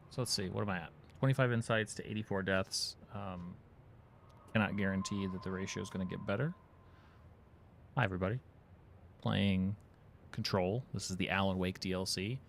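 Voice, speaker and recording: A man's voice: low voice, fairly even intonation, normal speed, creaky, pauses often, a little hiss or hum. A man streaming a video game, chatting casually with viewers and thinking aloud as he plays. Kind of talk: casual live-stream commentary.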